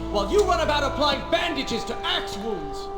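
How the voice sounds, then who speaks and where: An actor playing a vengeful, headstrong young Persian prince, speaking formally and with passion in a video game cutscene. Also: male voice, very high voice, very animated, moderate pace. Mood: stern. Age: young adult. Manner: formal, impassioned speech. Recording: music behind the voice. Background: cinematic music.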